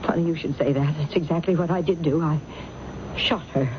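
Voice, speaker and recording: An actress playing a proper, troubled woman manager in her thirties, halting and hesitant, in a radio mystery drama. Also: deep voice, steady tone, close to an everyday voice. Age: 30s. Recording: muffled, noisy vintage radio broadcast recording.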